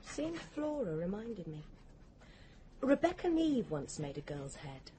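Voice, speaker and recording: A woman's voice: medium pitch, very animated, a little slow, mellow, a little hiss or hum. An actress playing a blunt, morbidly curious young English woman in a radio drama, opinionated and insistent. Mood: morbidly fascinated. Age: young adult.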